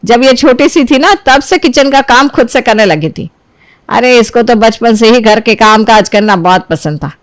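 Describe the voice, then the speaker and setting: Woman acting older mother-in-law, high-pitched, critical, reproachful, boastful, storybook family drama. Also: expressive, normal speed, no echo, clean studio sound, close to an everyday voice. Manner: scolding, reproachful. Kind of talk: acted dialogue in a Hindi family storybook tale.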